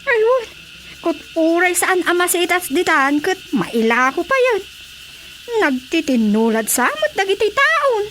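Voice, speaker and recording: An actress with an Ilocano accent playing an excitable, eager village woman in a radio drama comedy. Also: very high-pitched, measured pace, a little hiss or hum, exaggerated voice. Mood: eager, playful. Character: excitable, eager village woman Sita, copying her neighbour.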